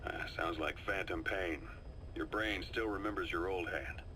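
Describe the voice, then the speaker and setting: A man playing a seasoned, calm and knowing military adviser in a video game, briefing his commander in a measured, confiding storytelling delivery. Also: deep voice, slight background noise. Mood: encouraging, practical. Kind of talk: video game voice acting, dramatic briefing monologue. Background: music.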